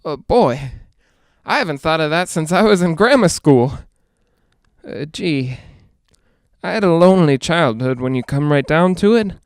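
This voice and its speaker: A male actor playing a nervous, lonely broadcaster in a 1940s-style radio drama, rambling and halting as he tries to reassure himself. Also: on the high side, expressive, clear, resonant tone, no room sound, studio quality. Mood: melancholy, lonely. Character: jittery, lonely man broadcasting alone from haunted house.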